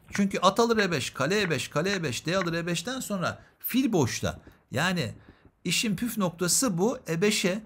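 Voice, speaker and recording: A male chess instructor with a fairly high, very lively voice. He comments on a game in an explanatory way, often putting a question to the listener and then answering it himself. His intonation moves widely as he teaches.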